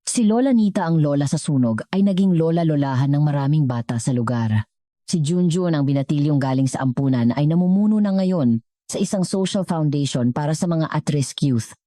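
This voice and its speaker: A Filipino woman reads a scripted story in a low-pitched voice. Her tone is mellow and soft-edged, with a measured, earnest delivery. The recording is dry and close. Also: animated, no room sound. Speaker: narrator of a story channel.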